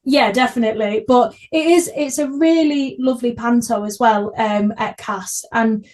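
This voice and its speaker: A woman hosts a casual podcast conversation. Her voice sits fairly high. She speaks effusively and with enthusiasm, in an informal chatty style. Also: moderate pace, a little mellow. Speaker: podcast host.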